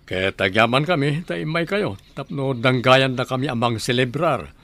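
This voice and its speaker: A man's voice: mid-range voice, moderate pace, a bit bright, close to an everyday voice. A man playing a devoted, conciliatory middle-aged husband and father in a radio drama, with an Ilocano Filipino accent. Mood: reassuring.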